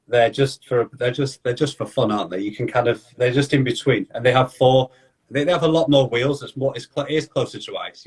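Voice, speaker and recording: A man hosting a casual podcast chat, talking at an ordinary middle pitch. He rambles in quick bursts, restarting sentences and tossing in "you know" as he goes. He sounds like he is talking into a video call. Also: husky. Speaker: podcast host and skating coach.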